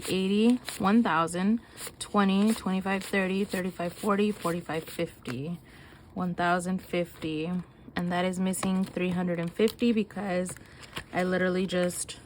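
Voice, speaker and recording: Woman, nasal, short of breath, lowish voice, counts cash aloud, faint room noise. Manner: counts bills aloud in quick chatty bursts. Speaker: budgeting vlogger. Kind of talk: casual chat while counting cash.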